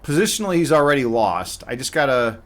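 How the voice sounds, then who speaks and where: A man's voice: medium pitch, sing-song, quick pace, fairly bright tone. A man giving casual live commentary on his own game as a streamer, muttering half-finished thoughts to himself as he thinks aloud.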